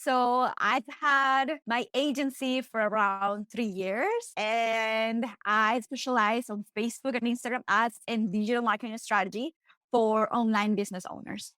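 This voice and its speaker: A woman's voice: on the high side, fairly even intonation, bright, forceful tone, no echo. Friendly Colombian-accented woman guest. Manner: friendly, quick, laughs easily. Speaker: marketing agency owner. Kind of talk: casual interview.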